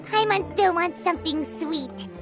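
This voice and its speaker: A woman voicing a tiny, gluttonous, childlike fairy companion in a video game, perky and squeaky. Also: a little slow. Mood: cheerful. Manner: perky, refers to self in third person. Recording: background music under the voice.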